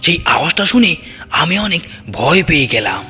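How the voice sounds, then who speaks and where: Animated male storyteller in heavy reverb. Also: fairly high pitch, moderate pace. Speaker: storyteller narrating a first-person ghost tale. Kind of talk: dramatic scripted narration for a cartoon horror story. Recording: long cavernous reverb, music underneath. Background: background music.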